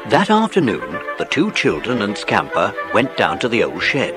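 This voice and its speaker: Animated male storyteller. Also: on the low side, normal speed, music in the background. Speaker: narrator. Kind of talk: scripted children's story narration.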